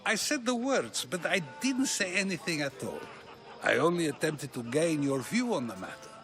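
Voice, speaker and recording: An actor voicing a teasing, riddling philosopher in a video game. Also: male voice, a little high, big pitch swings, moderate pace, over music. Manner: playful, riddling. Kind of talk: video game cutscene acting.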